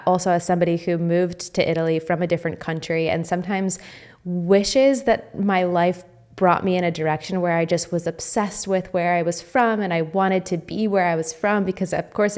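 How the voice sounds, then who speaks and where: A woman's voice: on the low side, darkish tone. An American woman hosting a podcast, chatting casually with her guest and laughing often. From United States. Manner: laughs often, friendly and talkative. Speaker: podcast host. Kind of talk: casual interview chat.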